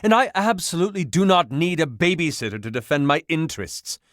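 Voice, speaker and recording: An actor playing a flamboyant, excitable washing machine in a video game, grandiose, romantic and melodramatic in delivery. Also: male voice, a little high, a little fast, no room sound, clean studio sound. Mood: passionate. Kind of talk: theatrical video game voice acting.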